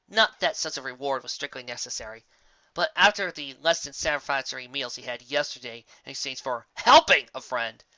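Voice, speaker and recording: Fan fiction narrator: dramatic scripted read, lively swings in pitch, slightly dull dry recording. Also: no room sound. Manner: expressive, dramatic reading.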